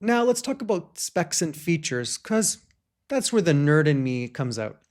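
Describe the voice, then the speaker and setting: A man's voice: on the high side, very animated, measured pace, a little mellow, faint music behind. A man hosting a gear review, talking in an enthusiastic, chatty way with small restarts, recorded on a shotgun microphone. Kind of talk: product review talk.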